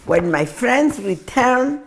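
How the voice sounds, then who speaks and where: Slow, high-voiced woman reading a children's story. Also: very animated. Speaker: storytime reader.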